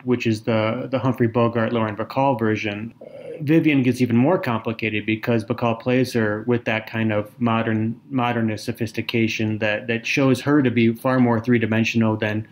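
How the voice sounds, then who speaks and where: A man's voice: mid-range voice, fairly even intonation, moderate pace. Articulate man analyzing a novel. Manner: articulate, analytical, with occasional hesitations. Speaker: podcast co-host. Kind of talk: casual book discussion.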